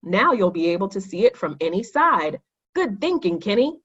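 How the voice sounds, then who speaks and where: A woman's voice: mid-range voice, big pitch swings, normal speed, breathy edge. An eager, encouraging schoolboy from a children's storybook, voiced by a woman. The delivery is friendly and exclaiming, on a slightly lo-fi recording. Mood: impressed.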